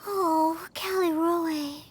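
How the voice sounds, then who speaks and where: A woman voicing a cute, sassy little cat girl in a video game, with a childlike, expressive delivery. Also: very high voice, extremely slow, slight background noise, full character voice. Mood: touched.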